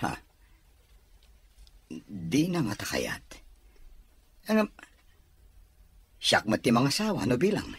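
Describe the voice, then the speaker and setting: An actor with an Ilocano accent playing a lovestruck, insistent grown son from a rich family in a radio drama. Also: male voice, mid-range voice, wildly swooping pitch, slow, pauses often, breathy edge, dry recording, exaggerated voice.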